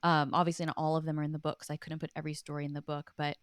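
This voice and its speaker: Casting: woman interviewee, deep-toned and smooth, chatty, earnest, reflective storyteller. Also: little pitch movement, very fast, no room sound, studio quality. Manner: earnest, chatty, laughs easily. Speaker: podcast guest, writer and documentary producer.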